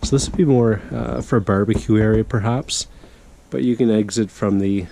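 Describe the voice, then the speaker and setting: A man working as a real estate agent, chatting casually as he guides the viewer on a walkthrough, a bit hoarse from a cold. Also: fairly low pitch, steady tone, moderate pace, mellow. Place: outdoors, walking around a property in the cold. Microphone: handheld camera while walking. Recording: outdoor noise with passing vehicles.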